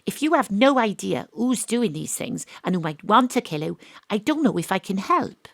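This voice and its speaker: An actress playing a down-to-earth private detective, dry and sardonic, who also narrates. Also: female voice, medium pitch, sing-song, moderate pace, creaky, dry recording. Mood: wry. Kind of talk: audio drama acting with narration. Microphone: home studio microphone.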